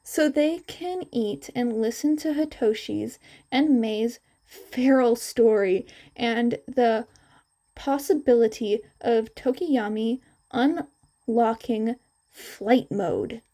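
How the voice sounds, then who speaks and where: A woman reads fiction aloud as a narrator. Her voice sits a little high, with a mellow, soft-edged tone. She takes her time, moving through the story at a very slow pace. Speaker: podfic narrator.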